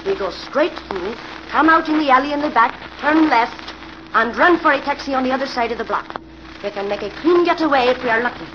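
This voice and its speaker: An actress playing a cool, commanding female crime boss in a 1940s radio drama, giving brisk, imperious orders. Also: high-pitched, animated. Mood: tense, controlled. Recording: muffled, noisy old radio recording.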